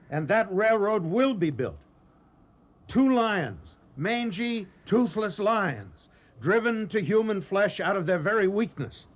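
High-pitched, fiery young commander. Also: male voice, heavily acted. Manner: declamatory, forceful, imperious. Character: fiery, commanding young railroad engineer in colonial Africa. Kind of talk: radio drama acting.

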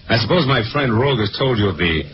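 An actor playing a suave, self-assured American crook with a mock-polite manner in a 1940s radio drama. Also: male voice, fairly low pitch, animated, moderate pace, lightly acted. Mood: smug, unruffled. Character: smooth, smug male crook, coolly self-assured. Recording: noisy, muffled 1940s radio recording.

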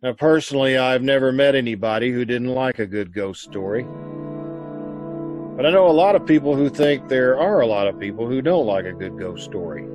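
A man hosting with a scripted old-time radio introduction, measured, theatrical and wry. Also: medium pitch, lively intonation, over music. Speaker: radio show host.

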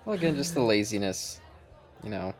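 A man's voice: fairly low pitch, lively intonation, brisk, darkish tone. A man co-hosting a podcast, chatting casually in a laid-back, understated way. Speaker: podcast co-host. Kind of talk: casual chat.

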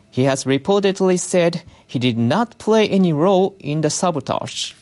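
Measured newsreader with a mid-range voice. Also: medium pitch, a little mellow. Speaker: news reader. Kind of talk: scripted news narration.

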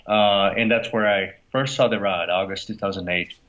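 A man's voice: fairly low pitch, steady tone, moderate pace, fairly bright tone. A man with a Brazilian accent, a company founder answering interview questions in an easygoing way, laughing often. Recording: dull lo-fi audio.

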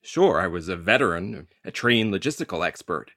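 An actor playing a young, wry First World War veteran telling his story in a deliberate, dramatic audio drama monologue. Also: sits low, sing-song, bright, forceful tone, no echo, studio quality, exaggerated voice. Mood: wry recounting. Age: young adult.

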